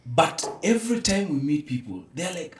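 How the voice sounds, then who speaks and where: A man's voice: on the high side, big pitch swings, moderate pace. A man with a Kenyan accent telling anecdotes in casual, code-switching conversation. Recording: roomy echo, distant from mic.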